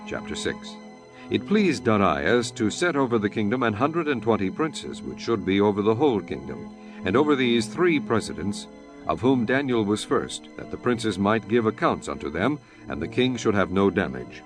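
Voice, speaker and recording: A man's voice: lowish voice, sing-song, moderate pace, over music. A man giving solemn, formal scripted narration for a dramatized Bible.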